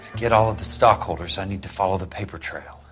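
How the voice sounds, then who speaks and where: A man's voice: low-pitched, fast, a bit bright, faint music behind. An actor playing a relentless male investigator in a video game, terse, commanding and confrontational. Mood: stern. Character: hard, blunt male investigator, relentless and accusing. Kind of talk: dramatic video game acting.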